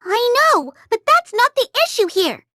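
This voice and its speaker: A woman's voice: extremely high pitch, very animated, unhurried, pushed and bright, no echo, studio quality, exaggerated voice. A woman voicing a tiny, bossy, impatient fairy guide in a video game, chirpy and emphatic. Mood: indignant, flustered. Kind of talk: English video game voice acting.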